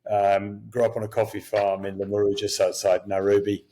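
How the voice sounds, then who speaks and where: An older man raised in Kenya whose first language was Swahili, telling lively stories as an interview guest, chuckling and restarting sentences. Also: lowish voice, a little fast. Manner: animated, chuckling, many restarts. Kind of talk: storytelling interview talk.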